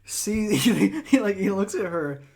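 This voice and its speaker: A man's voice: high voice, very animated, unhurried, vocal fry, a loud crowd. A young man co-hosting a podcast, chatting casually and retelling scenes with laughs. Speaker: podcast co-host. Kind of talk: casual chat.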